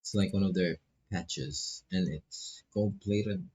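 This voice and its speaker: A man's voice: deep voice, slow pace, darkish tone, husky, lo-fi sound. A man with a Filipino accent commentating a visual novel as he plays, casually reading dialogue aloud with playful asides. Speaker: streamer playing a visual novel. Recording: music behind the voice.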